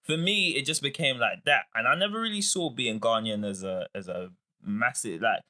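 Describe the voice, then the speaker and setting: A man, a podcast co-host, telling stories in a casual, animated way. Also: mid-range voice, normal speed. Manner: animated, storytelling, laughs often. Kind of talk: casual chat.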